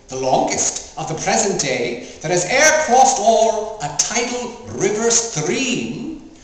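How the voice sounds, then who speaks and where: A man performing a theatrical poetry recital in a grandiose, declamatory, mock-solemn manner. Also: sits high. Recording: very echoey, distant mic, faint noise.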